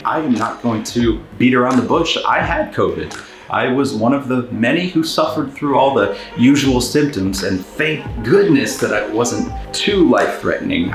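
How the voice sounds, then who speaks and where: Echoey man chatting in a casual vlog. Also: on the low side. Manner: informal, conversational, addressing viewers with tag questions. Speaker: video creator hosting an update vlog. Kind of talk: casual rambling vlog chat to camera. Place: spacious garage workshop.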